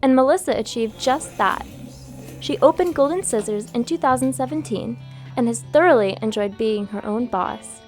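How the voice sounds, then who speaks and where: Female narrator, fairly high voice, measured scripted read, faint music under it. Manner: even, measured delivery.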